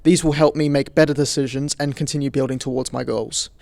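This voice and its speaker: A young man in his late teens, reading a prepared reflection in a measured, composed way. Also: mid-range voice, steady tone, vocal fry, darkish tone, dry recording. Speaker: student leaving high school.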